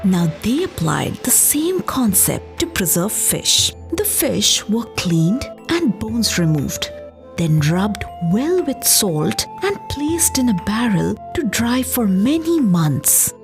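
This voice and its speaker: A woman narrating a scripted story as a podcast host, in a measured, expressive explanatory way. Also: medium pitch. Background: background music.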